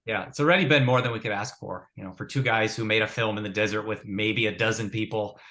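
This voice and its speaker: A man's voice: on the low side, fairly even intonation, quick pace, sharp tone. A man, a film director, answering interview questions in a plain, matter-of-fact way.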